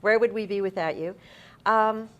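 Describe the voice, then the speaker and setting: A woman's voice: medium pitch, moderate pace, lo-fi sound. A middle-aged woman hosting a live on-stage interview in an effusive, encouraging manner. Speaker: interviewer, host. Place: TV-style desk set before a live audience. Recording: clear background noise, live event.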